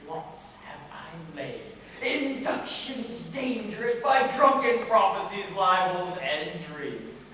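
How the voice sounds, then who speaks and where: A young man on stage playing a bitter, deformed, scheming villain duke in declamatory Shakespearean style with deliberate pauses. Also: high-pitched, very animated, pushed and bright, exaggerated voice. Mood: scheming, menacing. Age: young adult. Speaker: high school stage actor. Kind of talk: theatrical Shakespearean stage acting. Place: high school stage performance, recorded from afar. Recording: echoey theatre hall, distant microphone, faint noise.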